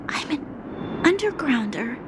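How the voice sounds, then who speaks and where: A woman's voice: sits high, very animated, takes it slowly, background noise, heavily acted. A woman playing an earnest, dutiful young noblewoman in a game cutscene, speaking in a proper, formal and serious manner. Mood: shaken. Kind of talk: English-dubbed game cutscene acting.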